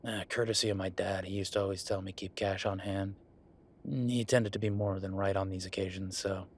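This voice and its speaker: A man playing a gruff, sarcastic merman who runs a tattoo shop, speaking in a halting, conversational audio roleplay style. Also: deep voice, flat delivery, a little hiss or hum. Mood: concerned, gruff. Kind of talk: naturalistic one-sided audio roleplay.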